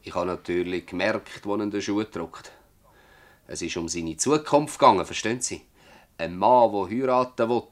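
An actor playing a stubborn old farmer in a radio drama, speaking emphatically and haltingly with a Swiss German accent. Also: male voice, low-pitched. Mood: earnest. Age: older. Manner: emphatic, halting, earthy testimony. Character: gruff old Swiss farmer, stubborn, defensive, worn by toil. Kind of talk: dialect radio drama acting.

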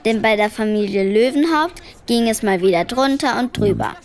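A woman's voice: on the high side, normal speed, natural voice. A woman playing a clever, scheming schoolgirl who narrates a children's radio play in a wry, know-it-all, matter-of-fact way. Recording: music behind the voice.

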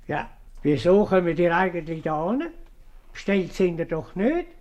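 A man's voice: sits high, sing-song, unhurried, a little mellow, dull audio. A man playing a scolding, officious town councillor and judge in Swiss German, in a 1950s radio comedy. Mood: stern, inquisitive.

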